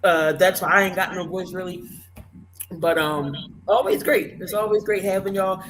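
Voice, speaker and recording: A male sports talk host with a hoarse, worn-out voice, casually wrapping up the show with loose, rambling plugs. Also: sits high, moderate pace, fairly bright tone, slight background noise.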